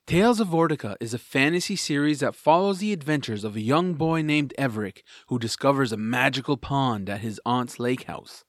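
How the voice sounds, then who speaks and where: A man's voice: medium pitch, lively intonation, a little fast, hardly any pauses, dry recording, studio quality. A man narrating a scripted introduction as the host of an audio series.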